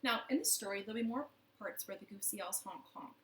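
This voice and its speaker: A woman's voice: mid-range voice, fast talker, a little hiss or hum. A woman telling a children's story expressively from her living room, speaking directly to young listeners. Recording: roomy, echoing home living room.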